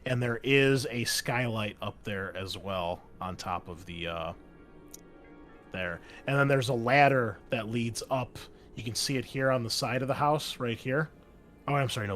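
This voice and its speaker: A man's voice: medium pitch, moderate pace, a bit bright, faint music behind. A man running an online tabletop game as game master, rambling with many fillers and self-corrections.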